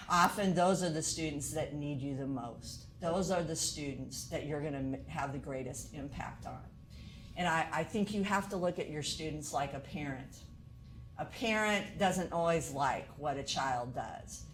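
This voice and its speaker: A woman's voice: low-pitched, a little hiss or hum, poor audio. A woman college instructor lecturing to teachers in an earnest way, asking many rhetorical questions.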